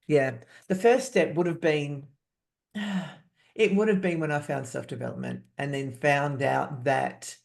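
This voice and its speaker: A woman in her fifties, a podcast guest, speaking candidly and haltingly, restarting words and laughing often. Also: deep voice, creaky, a little mellow. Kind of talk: candid personal interview.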